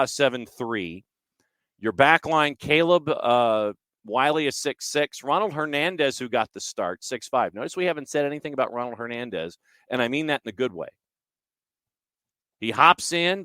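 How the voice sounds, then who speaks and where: Clear-voiced man hosting a soccer show. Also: mid-range voice, fairly bright tone, dry recording, studio quality. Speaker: sports show host. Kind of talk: conversational match analysis and stats rundown.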